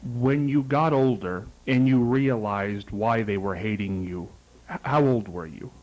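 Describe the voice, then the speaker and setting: A man's voice: medium pitch, measured pace, a little mellow, lo-fi sound. A man with an American accent hosting an interview show, speaking in formal introductions and direct questions. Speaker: talk show host, interviewer.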